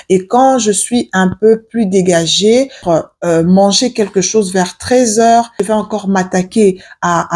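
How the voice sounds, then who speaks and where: A woman's voice: medium pitch, warm tone. A woman in her forties from Africa, giving advice to her viewers in a casual vlog, earnest, with many hesitations. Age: 40s. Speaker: vlogger. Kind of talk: casual advice talk to camera.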